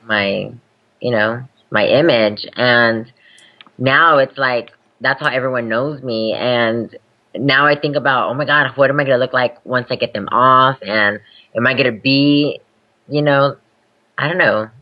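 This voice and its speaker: A woman's voice: a bass voice, measured pace. A young adult woman speaking candidly as an interview guest, laughing easily as she talks. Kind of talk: casual interview chat. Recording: dull lo-fi podcast audio.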